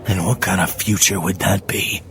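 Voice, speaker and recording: An actor playing a gruff, wary criminal enforcer in an audio drama, blunt and suspicious in manner. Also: male voice, sits low, fairly even intonation, normal speed, a bit bright, soft background music. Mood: guarded.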